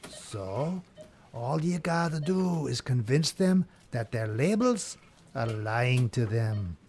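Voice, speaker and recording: A creaky-voiced cocky bro. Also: male voice, mid-range voice, sing-song, slow, a little mellow, slight background noise. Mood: persuasive. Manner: coaching, slangy bro talk with punning nicknames. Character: War, slick cocky bro horseman of apocalypse. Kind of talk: comedic audio drama dialogue.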